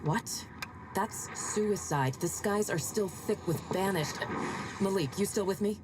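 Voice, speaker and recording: A woman's voice: medium pitch, unhurried, close to an everyday voice. An actress voicing a tense female dropship pilot in a video game, with urgent, clipped radio talk. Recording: loud noisy game battle audio.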